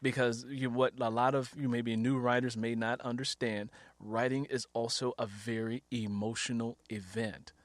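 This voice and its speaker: A man's voice: medium pitch, little pitch movement, moderate pace, few pauses, no room sound. A man interviewing as a host and fellow author, chatty and conversational, sharing his own experience as he leads into questions. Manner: chatty, illustrates questions with personal anecdotes.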